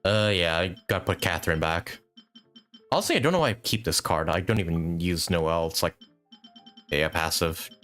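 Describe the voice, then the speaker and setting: A man's voice: low voice, moderate pace, a bit bright, faint music behind. A man chatting casually as a live game streamer, rambling and thinking aloud with some mild swearing. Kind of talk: casual gaming stream commentary.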